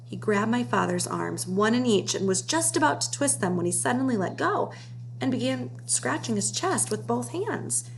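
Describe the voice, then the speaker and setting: A woman's voice: fairly high pitch, animated, moderate pace. Woman reading a children's storybook, noisy recording. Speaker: storybook reader. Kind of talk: children's read-aloud narration. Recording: clear background noise with hum.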